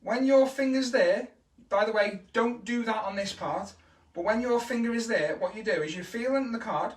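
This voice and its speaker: Scouse kids' entertainer, fairly high voice, chatty live tutorial, echoey room, mic set back. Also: male voice, moderate pace. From Liverpool, England. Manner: speeds up when excited, chatty and encouraging. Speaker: kids' entertainer teaching magic tricks. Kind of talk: casual live-stream tutorial. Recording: roomy echo, mic at a distance.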